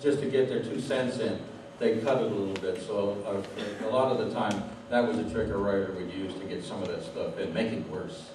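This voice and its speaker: A man's voice: on the low side, steady tone, fairly quick, few pauses. A man hosting an interview, explaining things informally to a live audience with a wry, joking manner. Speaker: interviewer, host. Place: public audience question session in a room. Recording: very echoey room, distant mic, clear noise.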